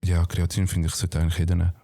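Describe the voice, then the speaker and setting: A man's voice: low-pitched, monotone, racing, vocal fry, mellow. A man with a Swiss German accent and a slight lisp, chatting casually and bluntly in slangy speech as a talk guest. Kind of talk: casual chat.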